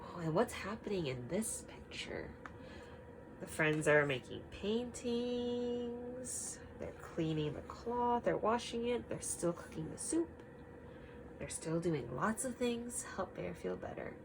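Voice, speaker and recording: A woman reading a picture book aloud to children, expressive and emphatic, pausing to ask simple guiding questions. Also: medium pitch, gravelly, background noise.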